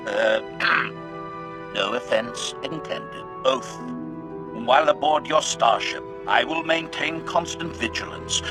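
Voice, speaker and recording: A man voicing a cold, calculating, loyal assassin droid in a video game, with clipped, formal delivery. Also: on the high side. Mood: eager, servile. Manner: clipped, formal, announces each statement with a one-word label. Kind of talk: scripted video game dialogue.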